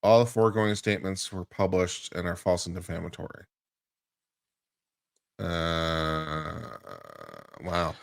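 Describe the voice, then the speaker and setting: A man with a fairly low voice works as a legal commentator. He reads formal legal text aloud in a dramatic way and drops into casual, incredulous, salty asides. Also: level tone, slow pace, vocal fry, pauses often. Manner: profane, incredulous asides between formal read passages.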